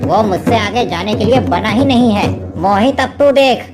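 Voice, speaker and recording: A woman plays a jealous, scheming village man in an animated moral story. The delivery is exaggerated and gloating, over clearly audible music. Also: mid-range voice, normal speed, lightly acted. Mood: jealous, enraged.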